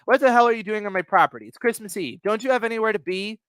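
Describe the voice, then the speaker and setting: A man's voice: high voice, expressive, fast talker, a bit bright, clean tone, dry recording. A man voicing an exasperated, plain-spoken rural woman in a dramatic reading of an emergency call. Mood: indignant. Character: exasperated rural woman holding an intruder at gunpoint.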